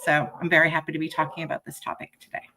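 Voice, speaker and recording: A woman's voice: low-pitched, flat delivery, very fast, bright, forceful tone. A woman panelist introducing herself in a chatty way with many fillers, on a video call. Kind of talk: panel discussion.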